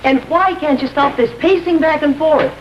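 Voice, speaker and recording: An actress with an American accent playing a teasing, supportive detective's wife in a vintage television drama. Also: female voice, high-pitched, normal speed, natural voice. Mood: teasing, coaxing. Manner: teasing, coaxing, chatty. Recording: muffled, faintly noisy vintage television soundtrack.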